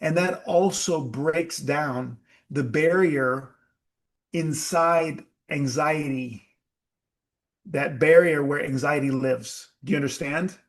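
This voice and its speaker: Slow, emphatic man leading a workshop. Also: fairly high pitch. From United States. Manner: slow, emphatic, asks the audience questions. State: sweating. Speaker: workshop leader. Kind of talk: live group workshop talk.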